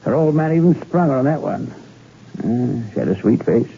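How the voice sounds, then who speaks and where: An actor playing a blunt, slangy, cynical crime editor in an old-time radio drama. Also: male voice, medium pitch, expressive, moderate pace, vocal fry. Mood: cynical, confiding. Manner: slangy, blunt, world-weary. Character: cynical, gossipy male newspaper crime editor. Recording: muffled, hissy old radio recording.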